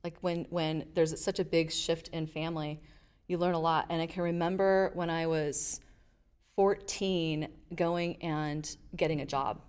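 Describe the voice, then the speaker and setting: Middle-aged woman guest, low voice, animated storyteller. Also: measured pace, clear, resonant tone. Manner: animated storyteller, acts out quotes. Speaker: guest, leadership advisor. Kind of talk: casual interview storytelling.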